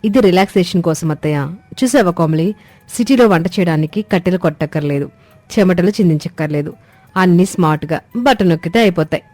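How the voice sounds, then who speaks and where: A woman's voice: fairly low pitch, fast, dark tone, no echo, soft background music, natural voice. A woman voicing a polite, gadget-proud young city daughter-in-law in a cartoon story, mixing English words into her Telugu. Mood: confident, smug.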